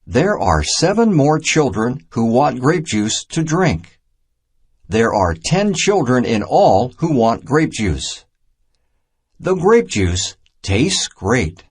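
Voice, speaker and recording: A man reading a children's math storybook aloud in a deliberate, simple teaching manner. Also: medium pitch, big pitch swings, husky. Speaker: narrator of a children's math reader.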